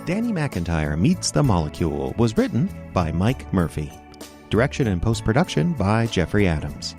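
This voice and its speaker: A man's voice: on the low side, huge pitch swings, normal speed, music in the background. A man reading scripted credits and sponsor announcements as a radio announcer.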